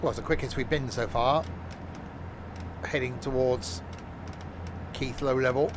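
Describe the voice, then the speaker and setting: A man's voice: mid-range voice, steady tone, unhurried, noisy background. A man streaming a train game, chatting casually and joking with live viewers, rambling with frequent self-corrections. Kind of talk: casual gameplay commentary.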